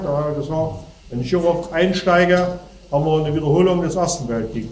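A middle-aged man with a cold gives a talk to an audience, standing and gesturing as he explains. His voice sits a little high. He is picked up from far off in an echoey hall. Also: mellow, a little hiss or hum. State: has a cold. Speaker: speaker. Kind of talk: lecture to an audience. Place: darkened hall before a projection screen. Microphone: far camera.

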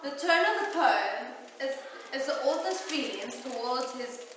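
A teenage girl giving a school oral presentation, reading from her notes in a measured way. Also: high voice, lively intonation. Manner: measured, read-aloud delivery. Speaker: student presenter. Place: classroom in front of a projector screen. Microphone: far camera. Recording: echoey classroom from far off, noisy.

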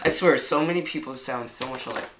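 A man's voice: medium pitch, fast, over a noisy crowd. A young man, chatting casually and making prank phone calls. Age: teenager or young adult. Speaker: prank caller in a challenge video. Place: indoors in a living room on a couch. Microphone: camera a short distance away.

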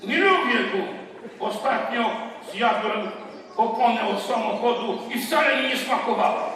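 Guy playing a goofy friendly bear, high voice, stage show in a big echoey hall. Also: unhurried, a bit bright. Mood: innocent. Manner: cheerful, jokey, protesting his innocence. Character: friendly clumsy male forest bear. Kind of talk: children's stage play acting. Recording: echoey hall from far off with audience.

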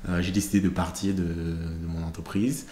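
Low flat-voiced guy in his 30s, from cameroon, chatting on a podcast mic, lots of pauses, roomy studio w/ light hiss. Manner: measured, thoughtful, many hesitations. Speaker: podcast host, interviewed guest. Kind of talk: conversational interview. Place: studio set with plants and glass-block wall. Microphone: studio mic on stand.

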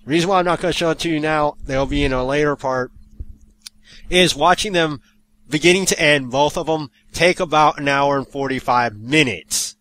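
A man hosting a let's play, giving hyper, goofy and excitable video game commentary with theatrical outbursts. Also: on the high side, normal speed.

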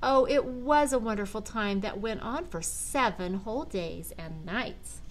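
An older woman, a grandmother, reading a children's storybook aloud with dramatic, deliberate phrasing. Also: mid-range voice, expressive, a little hiss or hum, low-quality recording. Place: large living room at home.

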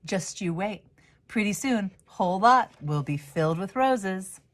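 A determined little girl from a storybook, acted by a woman, with her voice lifted higher. She is earnest and eager, full of hope for her garden. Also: animated, measured pace. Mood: hopeful.

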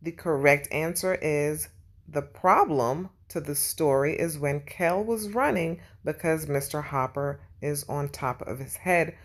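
A woman narrating a children's storybook and teaching young viewers in an animated, instructive way. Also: sits low, a little slow. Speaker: children's read-aloud host.

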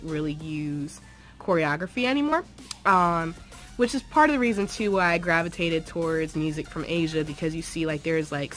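A woman's voice: deep voice, a bit bright. A young woman in a casual vlog, chatting enthusiastically with lots of "um" and "like," recorded on a phone held at arm's length in a small room at home. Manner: chatty and enthusiastic, many fillers like "um" and "like". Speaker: dance group member.

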